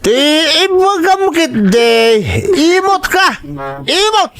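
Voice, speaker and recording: A man playing an angry, aggressive husband in an audio drama, shouting insults and demands. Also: extremely high pitch, lively intonation, slow pace, heavily acted. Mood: furious, bullying. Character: angry, bullying young husband demanding money from his wife.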